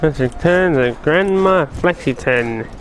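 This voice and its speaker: A man gives casual gameplay commentary in a slightly high voice. He is unhurried and meandering, mumbling along slowly over faint noise. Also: animated. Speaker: gaming video host.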